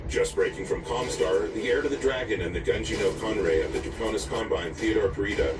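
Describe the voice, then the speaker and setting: An actor playing a formal news anchor reading a broadcast in a science-fiction game. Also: male voice, lowish voice, a little fast, music in the background, natural voice. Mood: serious. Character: formal television news anchor in sci-fi war. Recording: roomy, distant broadcast sound.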